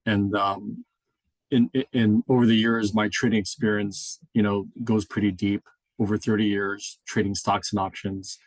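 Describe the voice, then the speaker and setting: The middle-aged American man you would hear leading an informal introductory class from slides, his lowish voice captured close and dry as he explains and checks that everyone follows. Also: level tone, normal speed, dry recording, low-quality recording. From Hawaii, United States. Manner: explanatory, checks in with tag questions like "right?". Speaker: instructor, experienced options trader.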